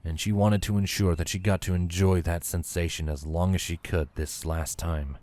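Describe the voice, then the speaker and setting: A man's voice: deep voice, fairly even intonation, normal speed, darkish tone. A man reading scripted story narration as the narrator of a fan fiction tale.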